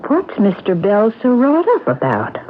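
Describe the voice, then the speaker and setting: A woman's voice: mid-range voice, huge pitch swings, normal speed. A woman playing a watchful housekeeper in a 1970s radio drama, with a wry, knowing manner. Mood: wry, curious. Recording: tinny, lightly noisy old radio recording.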